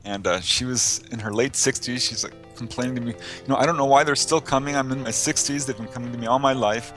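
Middle-aged man, mid-pitched, casually recounting. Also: fast talker, a bit bright, soft background music. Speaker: interview guest, UFO researcher and author. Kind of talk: casual anecdotal interview talk.

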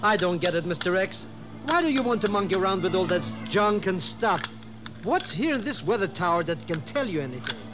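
An actor playing a jittery, slangy comic sidekick with an excitable, whining manner in a 1950s radio drama. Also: male voice, high-pitched, brisk, music in the background. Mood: baffled, whiny. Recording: noisy old 1950s radio recording.

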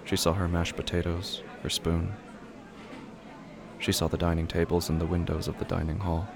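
A man narrating a scripted dramatic story in a slow, grave, hushed manner. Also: deep voice, little pitch movement, vocal fry, a crowd in the background.

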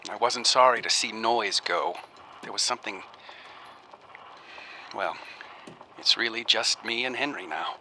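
An actor playing an earnest, uneasy researcher in a radio drama, speaking in a halting, musing way as if keeping an audio diary. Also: male voice, on the low side, pushed and bright. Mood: uneasy, tired. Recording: faint noise behind the voice.

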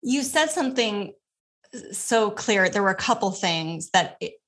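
Woman, podcast host, upbeat, friendly, chatty, casual fillers, mid-pitched voice. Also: animated, a little slow, a bit bright. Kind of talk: casual interview chat.

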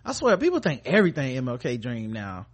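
A man's voice: mid-range voice, wildly swooping pitch, a little fast. An American man hosting a podcast, giving casual, comedic news commentary in an irreverent, sarcastic, sweary way. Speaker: podcast host.

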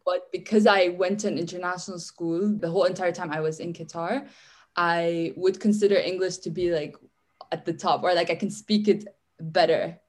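A woman's voice: fairly low pitch, fairly even intonation, normal speed, a little mellow. A young woman from Syria, a guest in a casual interview, chatty and rambling with lots of "like" and frequent restarts. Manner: chatty, restarts often, says "like" a lot.

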